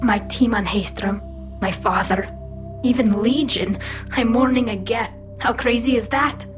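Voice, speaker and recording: A woman playing a wistful, loyal, gently determined young alien crewmate in video game dialogue. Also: on the high side, measured pace, music in the background, close to an everyday voice. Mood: wistful, mournful.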